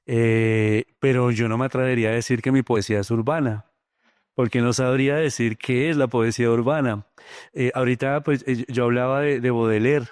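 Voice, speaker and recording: A middle-aged poet from Colombia with a Bogotá accent, speaking thoughtfully in an interview, often pausing with fillers. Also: male voice, medium pitch, steady tone, darkish tone, smooth, no room sound, studio quality. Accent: Bogotá Colombian Spanish. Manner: thoughtful, many hesitant fillers. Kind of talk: reflective interview talk.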